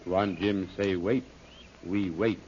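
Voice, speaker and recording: A man's voice: low voice, steady tone, takes it slowly, darkish tone, slightly rough, full character voice. An actor playing a loyal native male jungle guide who speaks broken pidgin English in an old-time radio serial. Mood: stubborn. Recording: dull lo-fi old radio recording.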